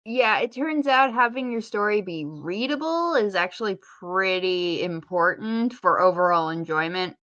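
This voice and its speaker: A woman's voice: medium pitch, lively intonation, measured pace, hardly any pauses, a bit bright, no room sound, highs cut off. A woman co-hosting a casual podcast, chatty and opinionated, with wry, rambling asides. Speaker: podcast co-host.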